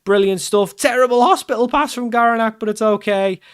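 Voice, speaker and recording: A man commenting casually over his football management game, getting loud and excited at the big moments. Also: very high-pitched, a little fast, clean tone. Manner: excitable, shouts at big chances and goals. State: losing his voice. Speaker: game streamer.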